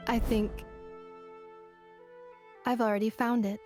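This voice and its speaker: Measured, formal robot girl, slightly high. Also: little pitch movement, a little mellow, faint music behind. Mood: earnest, gentle. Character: polite female robot, earnest and measured.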